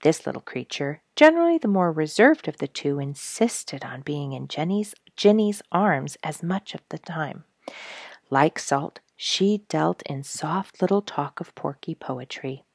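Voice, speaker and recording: Female narrator for family audiobook, low register with gravelly edges, gentle and earnest storytelling. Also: sing-song, unhurried, no echo, studio quality. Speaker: storyteller reading a book aloud.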